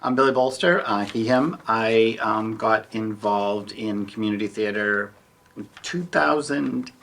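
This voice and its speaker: A man with a fairly low voice speaks as a panelist. His delivery is informal and conversational, suited to a community discussion. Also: measured pace, fairly bright tone, slight background noise. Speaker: panelist, community theater actor. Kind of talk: casual panel talk.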